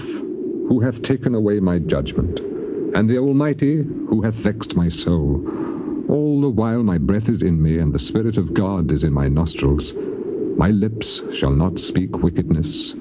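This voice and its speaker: An actor playing a suffering, defiant biblical patriarch in a grave, declamatory dramatized scripture reading, drawing out the ends of phrases. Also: male voice, sits low. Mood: defiant, impassioned. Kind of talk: dramatized scripture reading, classical stage acting. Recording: noisy, muffled old cassette tape.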